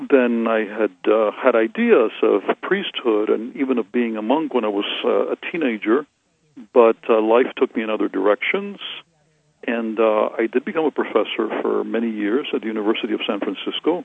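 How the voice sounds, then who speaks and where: A man in his sixties from Cuba, a Trappist monk answering interview questions in a soft, reflective way with many hesitations and restarts. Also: fairly low pitch, normal speed, creaky, slightly rough, no echo. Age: 60s. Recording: tinny phone line.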